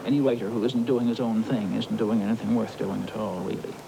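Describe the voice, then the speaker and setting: A man from Newfoundland, a novelist, giving deliberate, opinionated views in an interview on archival tape. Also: on the low side, steady tone, creaky. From Newfoundland, Canada. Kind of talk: reflective interview talk. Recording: noisy archival documentary tape.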